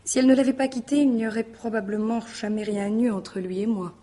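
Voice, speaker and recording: An actress playing a polite, guarded mistress in a French radio mystery drama, hesitant and questioning. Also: female voice, on the high side, fairly even intonation, brisk, warm tone, natural voice. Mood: confiding.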